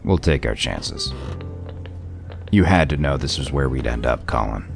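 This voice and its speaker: A man playing a gruff, weary lawman in an audio drama, dry and blunt with a gravelly voice. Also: rumbling low, expressive, unhurried, over music, heavily acted.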